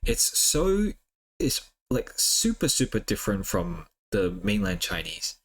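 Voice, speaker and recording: Easygoing man, lowish voice. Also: huge pitch swings, a little slow. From Hong Kong. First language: Cantonese. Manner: rambling, lots of "like" and "uh" fillers. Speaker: streamer. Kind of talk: casual chat while drawing on stream.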